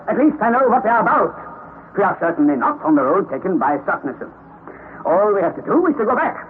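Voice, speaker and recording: A stern commanding old professor. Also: male voice, sits high. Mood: brisk. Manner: commanding, brusque. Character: stern determined older male professor explorer. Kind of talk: 1930s radio drama acting. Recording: old lo-fi radio broadcast, faint hiss.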